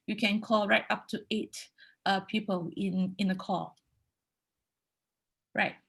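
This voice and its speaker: Husky, higher-pitched Malaysian woman presenting. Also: fairly bright tone, low-quality recording. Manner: instructive, checks in with frequent "okay" and "right" tags. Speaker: high school English teacher giving a conference presentation. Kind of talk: explanatory online presentation.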